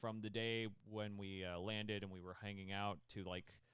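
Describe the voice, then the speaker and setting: A man's voice: lowish voice, little pitch movement, normal speed, fairly bright tone, clear, resonant tone, no room sound, clean studio sound. A man hosting a casual interview chat, rambling and full of fillers. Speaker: host.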